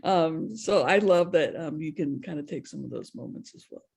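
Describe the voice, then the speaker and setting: A moderator guiding a panel discussion, asking gentle, curious questions with many fillers. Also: mid-range voice, lively intonation, moderate pace, vocal fry, slightly rough.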